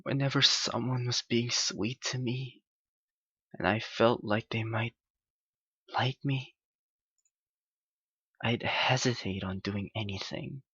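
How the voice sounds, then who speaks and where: A man playing a clingy, heartbroken young yandere lover in an intimate ASMR roleplay, halting and pleading in his anguish. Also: medium pitch, fairly even intonation, sharp tone, breathy edge, no room sound, dull audio, full character voice. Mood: subdued self-loathing.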